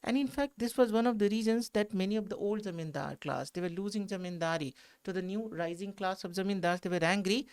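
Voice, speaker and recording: A man's voice: high voice, fast talker, hardly any pauses, darkish tone, clean tone. A man with an Indian accent, a history educator giving a didactic explanation in short phrases, repeating points for emphasis. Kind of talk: explanatory lecture in a panel discussion.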